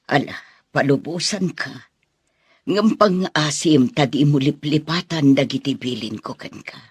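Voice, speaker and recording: A performer playing a worried, tender mother in a radio drama, with a husky voice and gently admonishing manner. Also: medium pitch, unhurried, no room sound. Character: worried, tender mother sending her son off.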